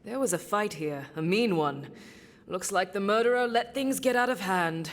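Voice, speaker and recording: A woman's voice: mid-range voice, animated, normal speed, long reverb tail, natural voice. A woman playing a candid, sardonic treasure hunter in a video game, casual and observant. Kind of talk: video game character dialogue.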